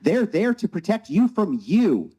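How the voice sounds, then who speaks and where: Brief: smug male debater, high mellow voice, lively swings. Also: a little slow, husky. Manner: condescending, dogmatic. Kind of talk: heated debate.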